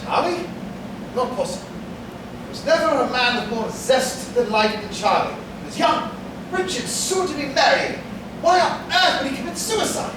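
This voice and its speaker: An actor playing a blustering, alarmed upper-class gentleman in a staged whodunit, emphatic and commanding. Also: sits high, expressive, normal speed. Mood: indignant, grave. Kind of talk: theatrical staged whodunit acting. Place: stage in a hall, recorded from afar. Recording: echoey hall, distant mic, noisy.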